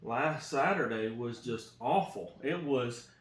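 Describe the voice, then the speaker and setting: A man's voice: mid-range voice, animated, slow, roomy sound. A man talking casually with his wife about faith and marriage, earnest and preachy, often repeating himself. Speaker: co-host, husband.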